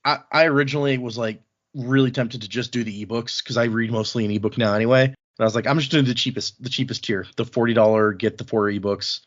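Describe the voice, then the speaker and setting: A man's voice: mid-range voice, fairly even intonation, fast, muffled recording. A man chatting casually as a podcast host.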